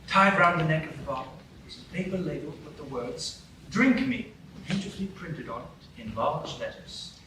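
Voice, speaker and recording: A man narrating a storybook radio play in an expressive, sing-song way. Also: fairly high pitch, normal speed, far from the mic. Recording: echoey room, mic from afar, noisy.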